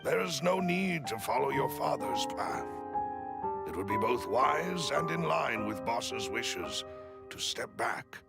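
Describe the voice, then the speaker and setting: A man playing a loyal, cautious butler in a video game, speaking formally and politely with a gravelly voice. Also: mid-range voice, very animated, slow pace, heavily acted. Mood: grave, cautioning. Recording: music behind dialogue.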